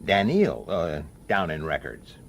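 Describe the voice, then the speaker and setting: Gruff, low-voiced, world-weary detective. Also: male voice, wildly swooping pitch, unhurried, heavily acted. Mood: guarded, irritable. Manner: blunt, hesitant, then explosive. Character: gruff, irritable, world-weary middle-aged male police detective. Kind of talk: radio drama acting with first-person narration.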